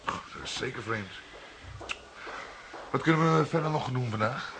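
A man playing an eager, impatient younger police detective in a radio drama, speaking in a brisk, earnest way. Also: medium pitch, a bit bright, a little hiss or hum, natural voice, rough recording. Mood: curious.

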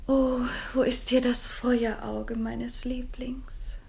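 An actress playing a lovestruck young bride in a German radio drama, with swooning, ornate declamation. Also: female voice, on the high side, little pitch movement, takes it slowly, creaky, a little mellow, husky, exaggerated voice. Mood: yearning, rapt. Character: lovestruck, rapturous daughter, a sentimental bride.

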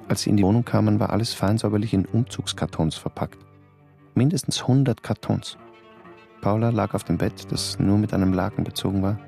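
A shaken, gravelly stage actor. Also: male voice, warm tone. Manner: measured, low-key recounting. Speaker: actor writing a letter. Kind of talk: letter read as a narrated voice-over. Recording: dry close studio recording.

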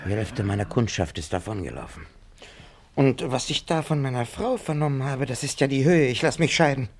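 Grumpy, creaky, exasperated dentist. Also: male voice, medium pitch, lively intonation, fairly quick. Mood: exasperated, grumbling. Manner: grumbling, exclamatory complaint. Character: grumpy, exasperated male small-town dentist. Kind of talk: German radio play acting.

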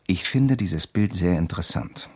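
An actor playing a persistent, slyly courteous investigator in a German radio crime drama, questioning insistently and deliberately. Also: male voice, deep voice, animated, a little mellow, slightly rough, exaggerated voice. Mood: probing, feigned innocence. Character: persistent amateur investigator, male, probing with sly courtesy.

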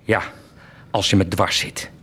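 An actor playing a stern, stubborn baker turned rebel prophet, measured and accusing, in a theatrical radio drama. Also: male voice, deep voice, level tone, fairly bright tone, slight background noise. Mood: cold threat.

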